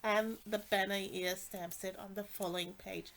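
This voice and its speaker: A woman's voice: medium pitch, little pitch movement, normal speed, a bit bright, a little hiss or hum. A woman with a congested, nasal voice, casually walking through craft products with many fillers and restarts. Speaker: stamping demonstrator.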